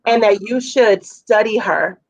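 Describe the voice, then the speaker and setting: A woman with a raspy voice giving casual, effusive feedback as a judge at a music showcase. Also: mid-range voice, unhurried, highs cut off. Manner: effusive, chatty, full of fillers.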